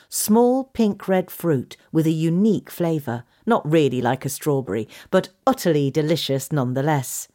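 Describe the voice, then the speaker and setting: Female narrator for gardening features, low register, lively intonation. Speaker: magazine article reader.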